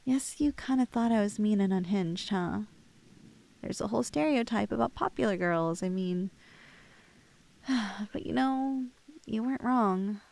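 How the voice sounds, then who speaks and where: A woman's voice: medium pitch, unhurried, vocal fry, long pauses, darkish tone. A woman playing a lovestruck, clingy young woman in an intimate ASMR roleplay, playful and hesitant, with a teasingly unhinged streak. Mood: teasing, possessive.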